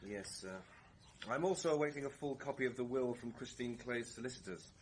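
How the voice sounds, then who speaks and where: An actor playing a confident police inspector, clipped and sardonic, in a vintage radio drama. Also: male voice, medium pitch, fairly quick, a little hiss or hum, natural voice. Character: dry, confident male Scotland Yard police inspector.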